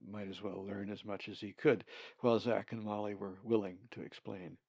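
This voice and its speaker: A man's voice: lowish voice, little pitch movement, normal speed, darkish tone, slightly rough, no echo. A man reading his own novel aloud as audiobook narration, on a slightly dull recording.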